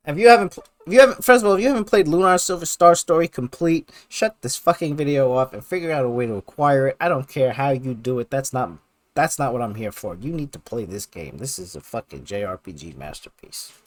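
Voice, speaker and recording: A man giving casual, excited video game countdown commentary in a gushing, slangy, emphatic way with heavy swearing. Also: medium pitch, fairly quick, no room sound. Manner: gushing, heavily profane.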